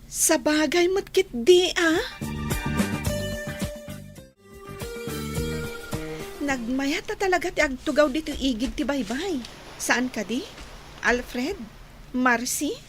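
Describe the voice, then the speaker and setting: A woman's voice: high voice, expressive, measured pace, music in the background. A woman with an Ilocano Filipino accent playing an earnest young woman in love in a radio drama. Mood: tender.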